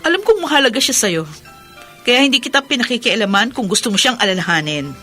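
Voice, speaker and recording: Weary, guarded elder sister. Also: female voice, fairly high pitch, lively intonation, fast, bright, forceful tone. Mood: guarded, irritated. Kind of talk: radio drama acting. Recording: music clearly behind the voice.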